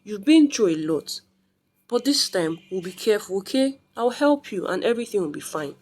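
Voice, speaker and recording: A woman's voice: medium pitch, expressive, normal speed, darkish tone, natural voice. A woman voicing a reassuring, practical sister in a folktale, soothing and matter-of-fact. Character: reassuring, practical sister of a troubled young mother.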